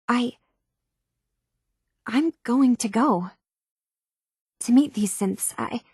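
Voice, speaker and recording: A woman's voice: medium pitch, darkish tone, husky, no room sound. Earnest, troubled young woman, close and dry. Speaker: video game voice actor. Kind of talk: game dialogue voice acting.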